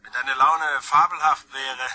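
An actor in a radio crime drama playing a mocking, falsely sweet freeloader who needles his brother, with a slightly husky voice. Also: medium pitch, steady tone, unhurried, bright, forceful tone, poor audio.

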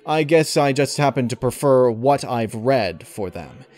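A man answering interview questions in a thoughtful, articulate way, with formal and deliberate wording. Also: medium pitch, animated, a little mellow. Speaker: channel host and audio story reader being interviewed.

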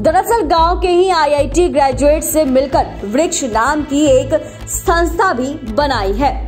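A woman's voice: high voice, a little mellow. A woman reading a scripted news feature as a voice-over narrator. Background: background music.

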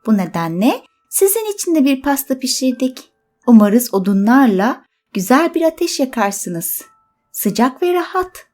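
A woman as a high, polite little storybook rabbit. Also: sing-song, a little slow, faint music behind. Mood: kindly. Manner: polite, bright sing-song requests. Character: polite, cheery little rabbit neighbour asking favours. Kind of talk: children's storybook character voice.

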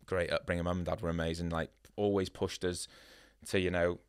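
A man's voice: sits low, little pitch movement, brisk, smooth. A man from North Wales, a tattooist, chatting as a podcast guest in a studio, upbeat and rambling with lots of "you know" and "yeah". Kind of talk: casual interview chat. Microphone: studio boom mic.

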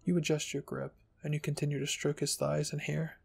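A soft-spoken man reading fan fiction aloud as an ASMR narrator. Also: a little high, level tone, brisk, gravelly, slightly rough, soft background music. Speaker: narrator and channel host.

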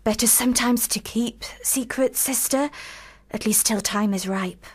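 A woman's voice: on the high side, a little slow, slightly rough. An actress in a radio play as a proper young woman, a prim and composed older sister given to admonishing. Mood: reproving.

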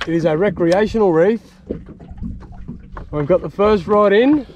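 Clear noise from open water surrounds a young man with an Australian accent and a noticeably high voice. He talks casually to his viewers as a fishing vlogger, in a colloquial, laid-back way. The sound is that of a camera out on a small boat. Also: a little slow, lo-fi sound. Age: young adult. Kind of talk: casual vlog commentary to viewers. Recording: noisy outdoor recording on open water.

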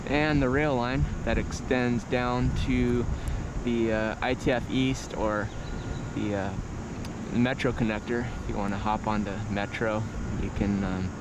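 A man giving a casual, unscripted vlog tour, speaking in a halting, low-key way as if thinking aloud. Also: steady tone. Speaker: vlogger. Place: outdoors on an open parking structure. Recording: windy outdoor audio, loud vehicle noise. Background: wind, vehicles, urban noise.

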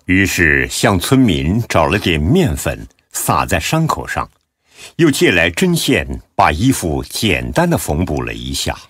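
A man narrating a scripted story as an audiobook narrator, in a deep, husky voice. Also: huge pitch swings, unhurried, fairly bright tone, no room sound, clean studio sound.

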